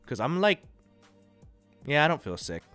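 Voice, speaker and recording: A congested, nasal-sounding man from the United States hosting a livestream, chatting casually and rambling through viewers' comments with a relaxed, self-deprecating manner. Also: on the high side, unhurried, clear, resonant tone, faint music behind. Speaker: livestream host. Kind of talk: casual chat reading and answering viewer chat.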